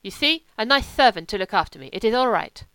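Woman playing a louche young German with a lisp, loud, broken English, clean dry sound. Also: on the high side, very animated, quick pace, smooth, no echo. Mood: wheedling. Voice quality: lisp from a missing tooth. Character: lolling young German man, wolfish and louche.

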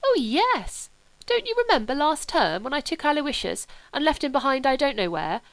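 A whimsical, petulant young aristocrat, as a woman puts him on in a storybook reading. His voice sits high above the narrator's and lilts with breezy, teasing excitement. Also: moderate pace, no room sound. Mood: eager.

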